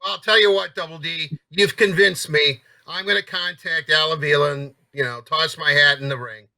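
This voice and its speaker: Very bright, sharp-voiced man bantering. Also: on the high side, fairly even intonation, moderate pace. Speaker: sports show co-host. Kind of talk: casual, joking banter.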